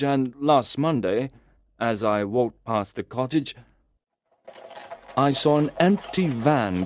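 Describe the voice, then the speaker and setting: A man narrating a first-person story in a slow, deliberate, carefully enunciated way, as if for language learners. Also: mid-range voice, dry recording, clean studio sound.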